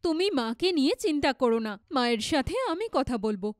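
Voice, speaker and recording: A woman's voice: sits high, expressive, brisk, no echo, clean studio sound. A woman voicing a supportive, responsible elder sister in a children's moral story, earnest and reassuring.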